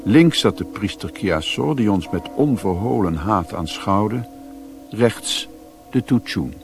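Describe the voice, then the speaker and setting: A man playing the grave narrator of an adventure in a radio drama, with a husky voice. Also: fairly low pitch, lively intonation, takes it slowly, over music. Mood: grave, tense.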